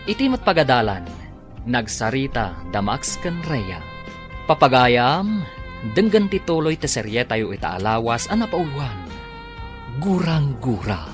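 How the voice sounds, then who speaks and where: A man's voice: medium pitch, very animated, unhurried. A man narrating and announcing a radio drama in a dramatic, scripted style. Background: prominent music.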